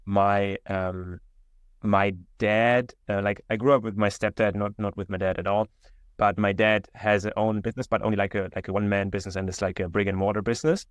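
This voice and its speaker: A young German-accented man talks easily on a video call, his voice a little low and dry. He wanders through his answers with plenty of "um" and "like", restarting as he goes. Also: brisk, clean tone, dry recording. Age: 20s. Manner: relaxed, many fillers and restarts. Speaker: guest entrepreneur. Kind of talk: casual interview chat. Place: indoor room.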